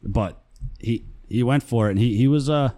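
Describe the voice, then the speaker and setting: Male co-host, casual and agreeable, mid-pitched voice. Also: moderate pace, mellow. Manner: easygoing, agrees often, swears casually. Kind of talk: casual chat.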